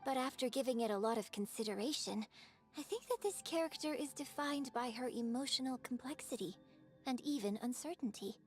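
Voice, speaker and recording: Actress as courteous young opera heroine for a game: higher register, formal, reflective, light music bed. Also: female voice, a little fast, natural voice. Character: poised, courteous young female opera performer, thoughtful. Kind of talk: video game voice acting.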